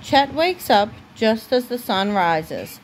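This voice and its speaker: A woman reading a children's storybook aloud as a mother would at bedtime, with deliberate pauses. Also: fairly high pitch, very animated, slight background noise, low-quality recording.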